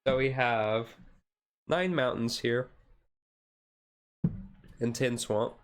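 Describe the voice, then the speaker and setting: Casual, halting man on a chill stream. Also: medium pitch. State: voice tiring. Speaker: streamer, card game hobbyist. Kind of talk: casual deck walkthrough, chill ASMR stream.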